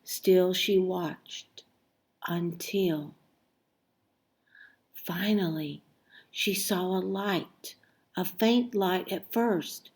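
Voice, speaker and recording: Slow, expressive woman reading a storybook. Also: fairly low pitch. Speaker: storybook reader. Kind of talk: expressive read-aloud children's story narration.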